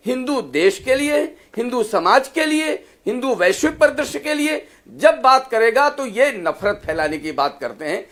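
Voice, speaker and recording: बेहद ऊँचे सुर में बोलता पुरुष पैनलिस्ट. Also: male voice, very high-pitched, fairly bright tone. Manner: emphatic, repetitive, accusatory. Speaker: debate panelist. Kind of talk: polemical political debate speech.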